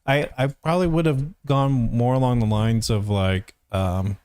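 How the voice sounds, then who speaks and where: A man's voice: medium pitch, fairly even intonation, moderate pace, a little mellow, clean tone, no echo. A young man who voice acts, giving casual, analytical reaction commentary in a hesitant, searching way. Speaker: voice actor reacting and reviewing.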